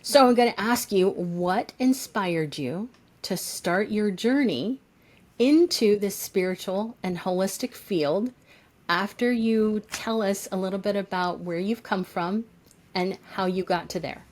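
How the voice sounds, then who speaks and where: A woman's voice: mid-range voice, a little slow. A woman co-hosting a podcast, reading a guest introduction and talking in an effusive, praising way. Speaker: co-host.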